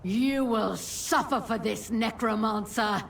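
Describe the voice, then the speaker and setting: An actress playing a noble, defiant winged champion of courage in a video game, stern and declamatory. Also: female voice, fairly high pitch, slow, vocal fry, a little hiss or hum. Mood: outraged. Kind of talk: video game heroic acting. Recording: distant voice under loud music.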